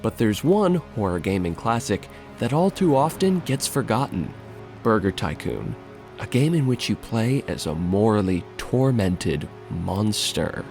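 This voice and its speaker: Man, video essay narrator, animated intonation, wry, informal, dry humour, scripted with casual asides, soft music underneath. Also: lowish voice, unhurried, gravelly.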